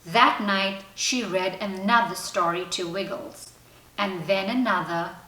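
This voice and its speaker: A woman reading a children's storybook aloud in an expressive, animated, deliberate way. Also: mid-range voice. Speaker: teacher reading a children's picture book aloud. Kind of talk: expressive scripted storybook narration. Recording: roomy echo, distant from mic.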